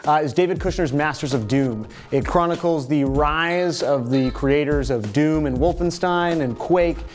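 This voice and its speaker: A man's voice: fairly high pitch, measured pace. A young Canadian man from Toronto hosting a music video series, casually answering viewer comments with jokey, sarcastic asides.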